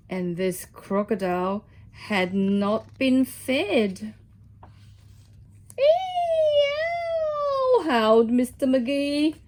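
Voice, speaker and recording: A woman with a high, very animated voice reads a picture book to young children. Her tone is soft and mellow and she takes her time, dropping into hushed asides as she goes. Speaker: children's storybook reader, teacher.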